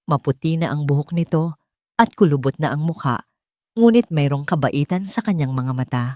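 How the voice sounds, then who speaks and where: A woman's voice: sits low, normal speed, mellow, dry recording, studio quality. A woman with a Filipino accent reading a scripted dramatic story as its narrator.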